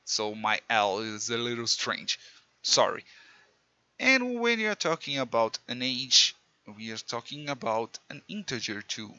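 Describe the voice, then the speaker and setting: A man's voice: mid-range voice, very animated, a little slow, bright, forceful tone, dry recording. Portuguese-accented male tutor, self-mocking, clumsy English; slightly muffled, dry close-mic sound. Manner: chatty, self-mocking, non-native English with slips. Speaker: programming teacher. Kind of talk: casual screen-recorded coding lesson.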